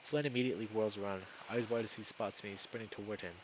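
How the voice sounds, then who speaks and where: A man's voice: on the low side, steady tone, fast, slight background noise, low-quality recording. A man reading a visual novel aloud as a streamer, in dramatic first-person narration.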